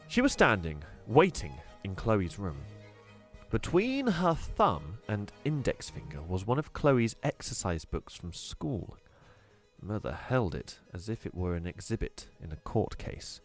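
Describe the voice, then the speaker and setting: A man reading a children's book aloud in an expressive, animated way. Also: on the low side, a little slow, faint music behind. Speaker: storyteller.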